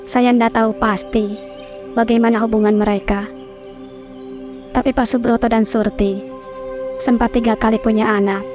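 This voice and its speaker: A woman voicing an older village maid in a horror cartoon, telling things slowly and plainly. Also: fairly high pitch, flat delivery, music in the background, close to an everyday voice. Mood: guarded. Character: older village woman servant, calm and guarded.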